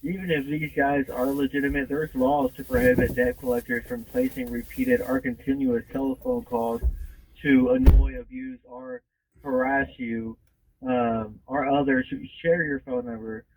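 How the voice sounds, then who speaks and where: A man's voice: medium pitch, sing-song, moderate pace, vocal fry, background noise. A man working as a phone agent, giving casual, explanatory advice in a slightly hesitant way. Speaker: consumer complaint phone agent.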